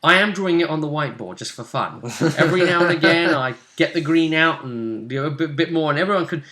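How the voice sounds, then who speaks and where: A man chatting casually as a game master, recounting a tabletop session like an animated storyteller. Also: on the high side, fast talker. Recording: echoey untreated room.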